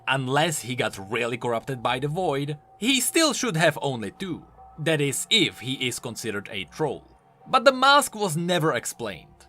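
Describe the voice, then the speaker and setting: Very animated, bright-voiced man narrating. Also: on the high side. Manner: emphatic, swooping delivery. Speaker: host of a game lore video channel. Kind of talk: scripted commentary narration. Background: background music.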